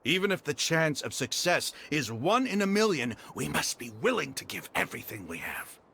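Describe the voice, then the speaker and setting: An actor playing a proud, hostile, zealous desert mercenary leader in a video game, scornful and grandiose in a theatrical way. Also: male voice, on the high side, very animated, moderate pace, gravelly, pushed and bright, breathy edge, full character voice. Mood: fervent. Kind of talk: dramatic video game voice acting. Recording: background music under the voice.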